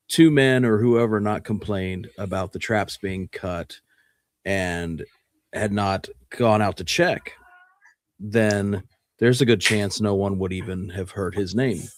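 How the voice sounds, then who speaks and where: A man co-hosting a radio show, offering casual commentary in a measured, reflective way. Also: on the low side, dry recording, clean studio sound.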